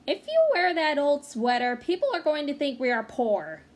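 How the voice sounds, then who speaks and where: A woman's voice: sits high, lively intonation, moderate pace, voice pushed up. A woman voicing a proud, practical mother in a storybook, speaking in a firm, matter-of-fact way. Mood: proud, particular.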